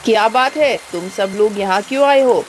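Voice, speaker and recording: A woman voicing a proud female bird in a children's animated moral story, speaking in a dramatic, declamatory way. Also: mid-range voice, moderate pace, a little hiss or hum, natural voice. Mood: haughty, dismissive. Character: haughty, selfish female crow, later a remorseful heron.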